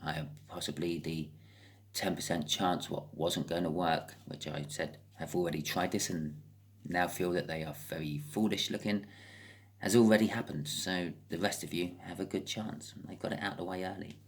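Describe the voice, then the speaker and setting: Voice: low voice, fairly even intonation, normal speed. A British speaker giving a casual, improvised tarot card reading as host, chatting and thinking aloud with wry asides.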